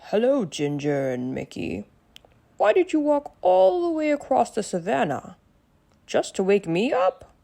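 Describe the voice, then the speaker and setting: Woman putting on a wise, creaky storybook lion. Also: a little high, very animated, a little slow, warm tone, pitched above the performer's own voice, exaggerated voice. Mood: teasing, grumpy. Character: smart, polite, slightly grumpy male lion in a children's story.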